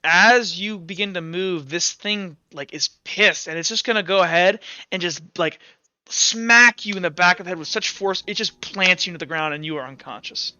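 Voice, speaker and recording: A man improvising narration as a game master in a casual, halting way, pausing between phrases. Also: sits high, bright, forceful tone, clear, resonant tone, no echo. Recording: dull lo-fi audio with background music.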